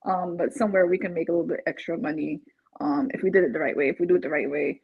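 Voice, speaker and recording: A woman from Barbados with a husky voice, talking casually and chattily, as in a testimonial interview. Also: on the low side, fairly even intonation, rapid-fire, dry recording. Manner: chatty, laughs often while talking. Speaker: interviewed client, first-time home buyer. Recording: dull, muffled lo-fi audio.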